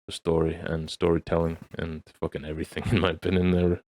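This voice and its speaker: A man's voice: sits low, flat delivery, fast, darkish tone. A man co-hosting a podcast, chatting casually and swearing freely. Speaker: podcast co-host. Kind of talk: casual chat, profane.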